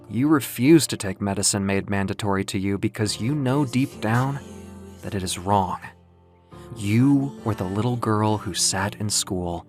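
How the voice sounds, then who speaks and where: Over music, a man acts out an ancient winged muse, solemn and impassioned. The figure delivers grave, deliberate speeches in the theatrical manner of an audio comic drama. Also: fairly low pitch, close to an everyday voice. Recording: music behind the voice.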